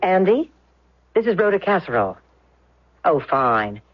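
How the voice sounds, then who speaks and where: A friendly, brisk housewife in an old radio play. She chats in a hurried, lively, swooping voice. The recording is muffled. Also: fairly low pitch, over music. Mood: brisk, cheerful. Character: brisk, chatty small-town housewife on the telephone. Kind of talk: radio drama acting.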